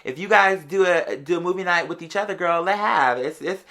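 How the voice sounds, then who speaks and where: An American host talking casually to followers in an animated, slangy way, telling stories conversationally. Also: medium pitch, a little fast. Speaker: online show host. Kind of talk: casual chatty monologue to followers.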